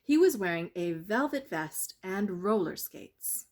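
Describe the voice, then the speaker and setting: A woman reading a children's bedtime story expressively, with deliberate dramatic pauses. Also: medium pitch, lively intonation. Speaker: storyteller reading a picture book.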